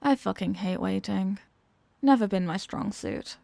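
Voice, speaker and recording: Creaky, seductive villainess acted by a woman, close-miked. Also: medium pitch, a little slow, warm tone, no room sound. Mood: confident, teasing. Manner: teasing, sultry, often dropping into breathy whispers. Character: seductive, dominant female villain toying with her hostage. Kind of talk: intimate ASMR audio roleplay. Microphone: close microphone in a dry space.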